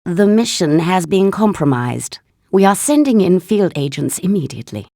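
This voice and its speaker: An authoritative intelligence chief in a video game. Her voice is slightly low with a gravelly creak. She issues orders in a clipped, commanding way. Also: big pitch swings, moderate pace, warm tone, dry recording, studio quality. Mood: stern, composed. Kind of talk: scripted mission briefing in a video game.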